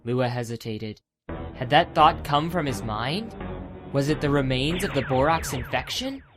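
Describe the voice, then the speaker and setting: A man's voice: medium pitch, lively intonation, a little slow. A man giving scripted, dramatic narration for an audiobook adventure. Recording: music under the narration.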